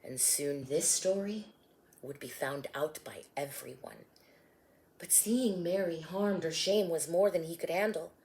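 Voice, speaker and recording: A woman narrating her own rhyming storybook with an expressive, sing-song delivery. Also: low-pitched, unhurried. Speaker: author and storybook reader.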